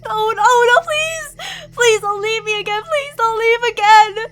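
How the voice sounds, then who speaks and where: A woman's voice: extremely high pitch, measured pace, fairly bright tone. A woman playing an obsessive, delusional young female stalker and former bully in an ASMR roleplay, clingy and emotionally volatile. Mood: desperate, sobbing. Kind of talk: ASMR roleplay, dramatic monologue to listener.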